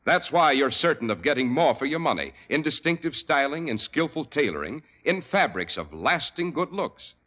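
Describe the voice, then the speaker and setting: Male vintage radio announcer, crisp salesman read, very lively pitch.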